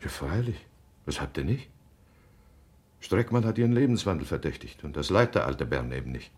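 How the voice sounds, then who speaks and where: An actor playing a gruff, uneasy, evasive husband in a radio drama, husky and halting in his speech. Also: a bass voice, animated, darkish tone, heavily acted. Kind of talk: naturalistic German radio drama acting.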